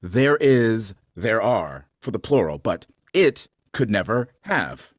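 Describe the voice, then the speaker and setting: A man's voice: fairly low pitch, sing-song, slow pace, full character voice. A man playing a strict, exacting uncle who drills grammar in a clipped, emphatic way, in a staged reading. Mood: pedantic, insistent.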